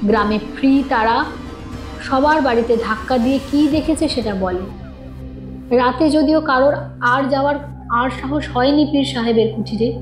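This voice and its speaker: A woman reading a scripted suspense story in a dramatic storytelling manner. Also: high voice. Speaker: narrator of a horror audio story. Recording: echoing studio voice with music underneath. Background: background music.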